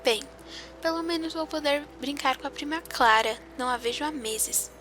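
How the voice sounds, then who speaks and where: A woman's voice: high-pitched, normal speed, music in the background, lightly acted. A woman playing a wistful, grieving young girl in an audio drama, speaking in a reflective, confiding way. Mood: hopeful.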